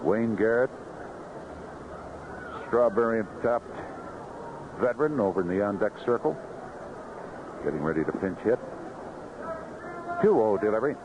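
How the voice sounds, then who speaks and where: A man's voice: lowish voice, lively intonation, measured pace, long pauses, narrow, tinny audio. An American man calling baseball play-by-play on radio in clipped, descriptive phrases. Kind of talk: live radio sports commentary. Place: outdoor ballpark broadcast booth. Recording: noisy vintage 1970s AM radio broadcast.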